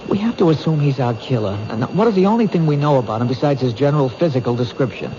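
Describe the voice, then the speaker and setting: A man's voice: medium pitch, expressive, fast talker, lightly acted. A man playing an earnest, dogged police detective in 1970s radio drama, speaking with urgent insistence. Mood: intense, reasoning. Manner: urgent, insistent, reasoning things out aloud. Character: earnest, dogged male police detective protecting a threatened woman. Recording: noisy, dull 1970s radio recording.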